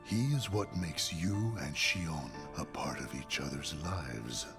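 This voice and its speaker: An actor playing a cold, authoritative leader of a secret organization in a video game cutscene, speaking in a measured way. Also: male voice, rumbling low, big pitch swings, over music, full character voice. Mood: stern, controlled. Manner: slow, measured, authoritative delivery.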